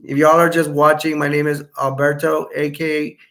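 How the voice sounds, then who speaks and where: A man hosts a live chat stream, reading out viewer comments and wandering from one thought to the next. His voice sits somewhat high and has a gravelly fry in it. It is getting hoarse as he talks. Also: monotone, moderate pace, a bit bright. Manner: rambling, self-correcting, chatty. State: voice going hoarse.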